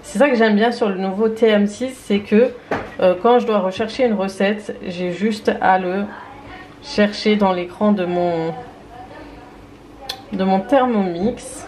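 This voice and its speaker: A tired woman talks casually to her viewers from her kitchen, hesitant and full of fillers. Her voice sits at a middle pitch. The room rings with echo under a faint hiss. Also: unhurried, a little mellow. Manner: chatty, hesitant, many fillers. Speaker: vlogger. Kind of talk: casual vlog chat. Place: home kitchen.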